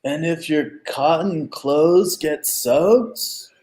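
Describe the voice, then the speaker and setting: A man's voice: on the high side, very slow, a little mellow. A middle-aged man playing a nerdy, pedantic, game-obsessed sidekick in a comedic radio play over a video call. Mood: dismayed. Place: room at home, on a video call.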